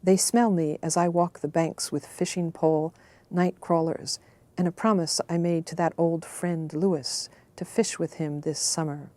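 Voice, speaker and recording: A woman speaking reflectively and in a measured way, as in a personal reading or reminiscence. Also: lowish voice, steady tone, dark tone. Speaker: poetry reader and research analyst. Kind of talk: personal reminiscence and poem recitation.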